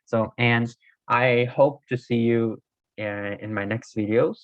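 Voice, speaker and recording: A man whose first language is not Korean, explaining Korean grammar in a casual video lesson, hesitant with many fillers and self-corrections, his voice a little hoarse. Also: medium pitch, fairly even intonation, takes it slowly, no echo. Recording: dull, dry lo-fi recording.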